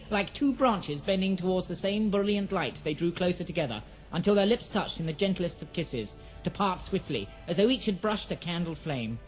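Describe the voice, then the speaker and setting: A man's voice: high voice, rough recording. A man narrating a scripted fantasy audio drama in a solemn, literary storytelling manner.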